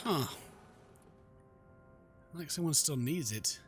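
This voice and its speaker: A man's voice: fairly high pitch, lively intonation, slow. A man giving casual comedic game commentary with a sarcastic, mocking and theatrical delivery. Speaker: let's play host. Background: game music.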